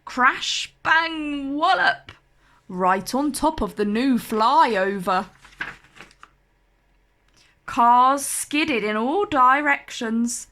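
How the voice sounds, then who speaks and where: A woman reading a children's storybook aloud as narrator, with expressive, deliberate delivery. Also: high-pitched.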